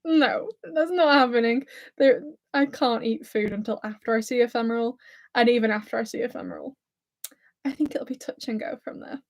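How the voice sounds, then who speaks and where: A woman's voice: a little high, a little fast. Giggly woman with a Lancashire-Scouse accent. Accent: Lancashire and Scouse mix. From England, near Blackpool. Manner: chatty, giggly and self-deprecating, nervous. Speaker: YouTuber hosting a livestream. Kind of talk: casual live-stream chat reading viewer comments.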